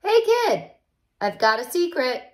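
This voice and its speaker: An excitable little storybook girl, acted out by a woman reading aloud, full of curiosity. Her voice sits high and swoops around as she eagerly exclaims and fires off questions. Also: a little slow. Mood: eager, curious.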